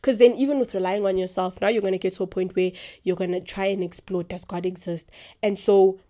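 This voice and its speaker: A young woman hosting a podcast, talking about faith in a chatty, rambling way full of fillers, with a naturally deep voice. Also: steady tone, brisk, dark tone. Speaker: podcast host. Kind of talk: casual faith talk.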